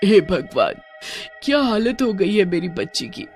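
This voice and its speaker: A woman's voice: medium pitch, big pitch swings, measured pace, a little mellow, soft background music. Woman doing a ghost mother, grieving, vengeful, cartoon witch. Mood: sorrowful. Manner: sorrowful and vengeful.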